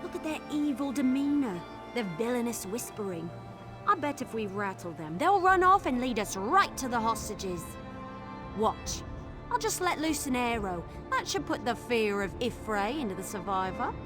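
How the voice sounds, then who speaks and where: An actress playing an eager, overconfident young amateur sleuth in a video game, chatty, conspiratorial and excitable. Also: female voice, on the high side, moderate pace, over music. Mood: excited, cocky. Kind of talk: video game character acting.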